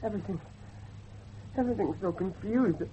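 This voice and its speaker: A man's voice: high voice, lightly acted. Man acting distraught, confused young son, muffled recording. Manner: halting, pleading, distraught. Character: frightened, confused, cursed young son hiding in darkness. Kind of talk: horror audio drama acting. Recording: dull lo-fi recording with faint noise.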